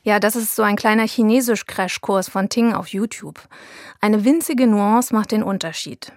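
Documentary narrator, a woman, calm and even, dry studio sound. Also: medium pitch, normal speed, dry recording. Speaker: reporter and narrator. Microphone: studio mic.

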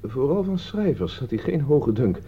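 Husky, defensive young man. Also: medium pitch, very animated, moderate pace, mellow, heavily acted. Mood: explaining, wry. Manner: evasive, defensive. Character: defensive thirty-year-old idle male graduate under questioning. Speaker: actor in a radio play. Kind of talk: Dutch radio drama dialogue. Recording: dull, band-limited old radio recording.

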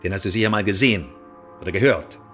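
An actor playing a menacing, mock-polite secret-service interrogator in a radio drama, articulate and sardonic. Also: male voice, on the low side, quick pace, fairly bright tone, faint music behind, close to an everyday voice. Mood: threatening, demanding.

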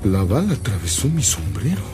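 Urgent man, wildly swinging pitch. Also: wildly swooping pitch, fairly quick, over music. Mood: shaken, relieved. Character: brave, urgent man protecting others under gunfire. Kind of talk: radio drama acting.

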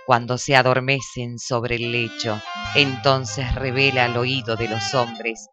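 A man's voice: medium pitch, level tone, takes it slowly. A man reciting a scripted show intro in a solemn manner. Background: loud music.

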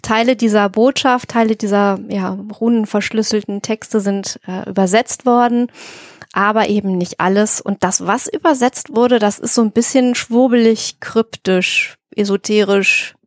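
A woman's voice: medium pitch, fairly even intonation, normal speed, no room sound. Close, dry-sounding woman podcaster. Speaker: podcast co-host. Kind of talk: conversational explaining in a podcast discussion.

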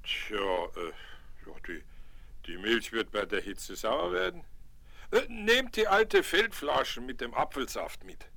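A kindly old mountain grandfather in a vintage children's radio play. He is gruff but warm and speaks slowly, with lively swings of pitch and a gravelly creak. The sound is a crackly vinyl rip. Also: full character voice. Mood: thoughtful. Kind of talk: 1970s German children's radio play acting. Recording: noisy 1970s vinyl rip with clicks.

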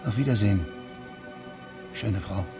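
An actor playing a weary, evasive judge in a German radio drama, speaking in a measured, restrained way. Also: male voice, lowish voice, dark tone. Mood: evasive, resigned. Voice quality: gravelly. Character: weary, evasive male judge leaving a village. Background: music.

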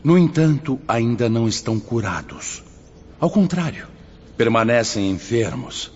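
A man's voice: medium pitch, lively intonation, a little slow, darkish tone, slightly rough. A Brazilian man acting in a radio drama as a solemn, authoritative spirit mentor, declaiming like a preacher. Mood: firm, instructive. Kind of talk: radio drama acting, oratorical sermon-like address. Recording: muffled, noisy, long hall reverb.

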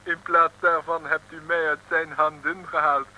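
A man's voice: a little high, level tone, moderate pace, dull audio, lightly acted. An actor playing a formal, ornately polite policeman in a comic radio serial. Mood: grateful, courteous. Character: courteous, grateful French policeman. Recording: old noisy radio recording.

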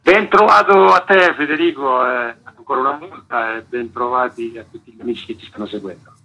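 A man's voice: mid-range voice, fairly quick, gravelly, sharp tone. A man speaking as a guest author in a radio interview, explaining his work articulately in long, flowing sentences. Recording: dull, lo-fi radio line.

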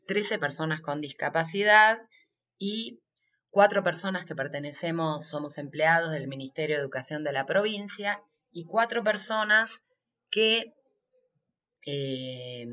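Interviewee: Argentine woman coordinator, forceful bright tone, slow pace, spelling things out methodically. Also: low-pitched, highs cut off. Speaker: coordinator of an inclusive workshop being interviewed. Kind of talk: unscripted interview answers.

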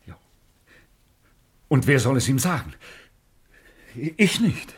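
An actor playing a troubled, wary Roman guard commander in a radio drama, with husky, hesitant, brooding speech. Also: male voice, mid-range voice, sing-song, slow, heavily acted. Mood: anguished. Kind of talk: 1950s German radio drama acting.

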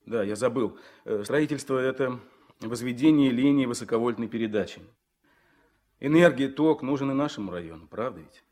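A young Komsomol organiser played by a male actor with a medium-pitched voice. He speaks earnestly and haltingly as he tries to persuade, in the style of an old Soviet radio play. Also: darkish tone, lightly acted. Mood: earnest, hesitant. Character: earnest, hesitant young Komsomol organiser pleading his cause. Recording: vintage 1970s mono radio recording.

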